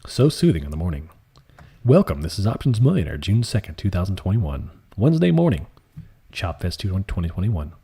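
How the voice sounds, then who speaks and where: A man hosting a live stream, chatting casually and calmly while answering viewer comments in a soft, laid-back way. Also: sits low, fairly quick, husky. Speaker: livestream trading host.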